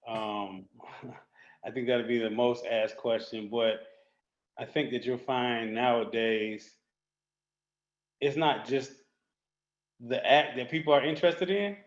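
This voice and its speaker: Relaxed man on a dull, echoey line. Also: medium pitch, slow pace, pauses often. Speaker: guest. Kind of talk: casual conversation.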